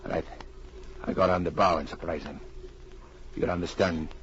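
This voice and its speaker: An actor playing a cold, methodical gunrunner boss in old radio drama, giving deliberate, menacing orders. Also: male voice, low voice, fairly even intonation, lightly acted. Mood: terse command. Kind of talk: 1940s radio villain acting. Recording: muffled, noisy old radio recording.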